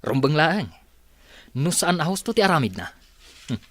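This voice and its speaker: Exasperated Ilocano executive, animated delivery. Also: male voice, on the high side, normal speed, no room sound. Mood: worried. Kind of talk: Ilocano radio drama acting.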